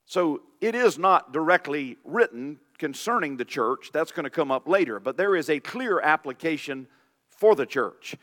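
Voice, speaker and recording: Hoarse deep-voiced pastor in a reverberant church. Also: male voice, animated, normal speed, a bit bright. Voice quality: deep, raspy voice. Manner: reads scripture aloud, informal asides. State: hoarse from illness. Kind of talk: sermon. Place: church sanctuary. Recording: long reverb of a church hall.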